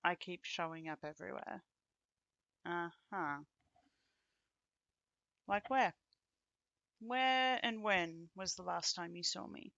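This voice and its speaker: Casting: actress playing a fond, teasing woman companion for intimate ASMR roleplay; bright tone, slow conversational delivery with pauses. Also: on the low side, gravelly, no echo, muffled recording, heavily acted. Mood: skeptical, amused. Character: affectionate, teasing woman, close companion of the listener.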